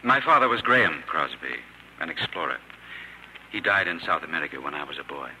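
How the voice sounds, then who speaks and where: Low-voiced, quick, humble young man. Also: lively intonation, sharp tone, a little hiss or hum, full character voice, low-quality recording. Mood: deferential. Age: young adult. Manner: courteous, deferential. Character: polite, humble, earnest young male writer. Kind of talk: 1950s radio drama acting. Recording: old radio broadcast with crowd sound.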